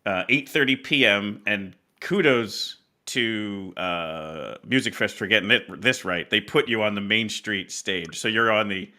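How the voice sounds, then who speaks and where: A man with a bright, forceful voice on the slightly low side, hosting a casual music chat. He speaks appreciatively, in halting phrases, as he plugs events. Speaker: podcast host. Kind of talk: casual interview and event promotion.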